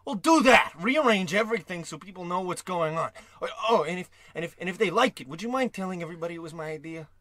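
A man's voice: high-pitched, expressive, quick pace, few pauses, fairly bright tone, dry recording. A man playing a pompous, blustering rich celebrity in theatrical old-time radio comedy style. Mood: excited. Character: pompous, excitable rich male celebrity host.

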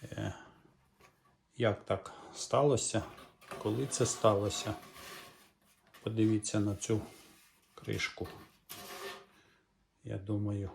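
A middle-aged Ukrainian man in his home workshop, explaining a repair in a calm, musing way with small hesitations, recorded on the camera mic. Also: on the low side, slow, gravelly, long pauses, noisy background. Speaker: repair vlogger. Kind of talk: hands-on repair walkthrough.

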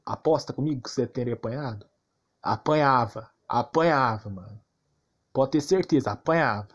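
A man's voice: medium pitch, a little mellow. A man with a Brazilian accent giving informal game commentary, arguing insistently and repeating himself with plenty of slang. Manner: argumentative, insistent, repetitive, slangy. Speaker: gaming YouTuber.

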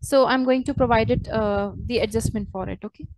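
A woman walking through a software tutorial step by step as a trainer, in a hesitant, halting way. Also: on the high side, no room sound. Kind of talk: screen-share software tutorial, unscripted walkthrough.

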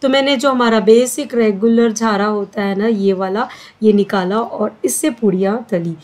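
A woman's voice: medium pitch, steady tone, moderate pace, a little mellow. A middle-aged woman talking through a home recipe step by step in a chatty, casual way, with frequent filler sounds. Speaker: cooking vlogger. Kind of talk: home cooking voice-over. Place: home kitchen.

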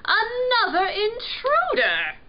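A woman's voice: extremely high pitch, lively intonation, slow pace, highs cut off, pitched above the performer's own voice, exaggerated voice. A woman voicing a haughty, easily outraged prince fish in a children's storybook, with pompous, formal phrasing. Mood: dismayed. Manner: pompous, posh exclamations and formal address.

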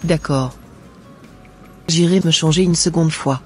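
An actress playing an eager, compliant young bride-to-be in a cartoon drama, with agreeable, formal replies. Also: female voice, deep voice, a little slow, breathy edge, faint music behind.

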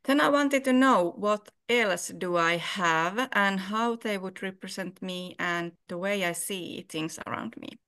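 A woman from Finland with a Finnish accent, reading her prepared words aloud slowly and carefully over a video call. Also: medium pitch, a bit bright, clear, resonant tone. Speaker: exhibiting photographer.

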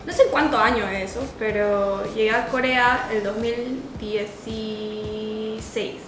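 A woman's voice: a little high, fairly even intonation, slow pace, echoey room, music in the background. A woman in her twenties from Bolivia with a Bolivian Spanish accent, chatting casually as a guest and laughing often. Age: 20s. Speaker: interview guest. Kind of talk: casual chat. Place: indoor studio set at a table.

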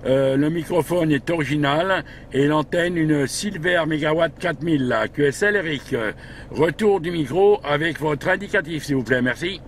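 A man's voice: fairly high pitch, moderate pace. A man working as a radio operator from a parked vehicle, talking in procedural radio chat with frequent tag words. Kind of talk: radio contact chat with spelled-out call signs. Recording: background noise in a vehicle.

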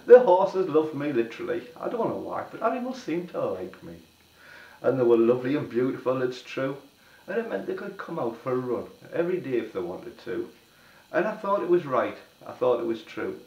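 Older man, hoarse from a cold, animated sing-song rhyming delivery; distant home-video sound. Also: mid-range voice, a little fast, echoey room, muffled recording. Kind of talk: rhyming spoken-word storytelling to camera. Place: small living room, seated on a sofa. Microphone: camera at a distance.

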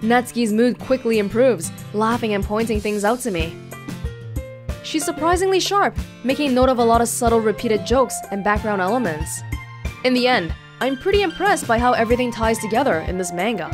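Female let's play host, higher-pitched, expressive reader of visual novel text, soft music behind. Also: a little fast. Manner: expressive, acting out dialogue. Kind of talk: reading a visual novel aloud with reactions. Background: soft game music with guitar.

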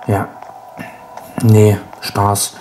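A man's voice: fairly low pitch, monotone, extremely slow, loud music behind the voice, rough recording. A man with a cold, sounding stuffy, rambling wryly as he hosts a casual gameplay commentary. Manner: rambling, self-mocking, wry. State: congested with a cold. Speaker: let's play host.

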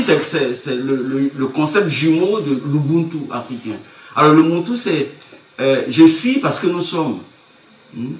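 A man's voice: on the high side, a bit bright. A middle-aged man giving an academic conference lecture, emphatic and rhetorical, repeating key phrases as he speaks at a table mic. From Côte d'Ivoire. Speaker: university lecturer. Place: conference room with projector screen. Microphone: table mic on stand, recorded by far camera. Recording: echoey room from far off.

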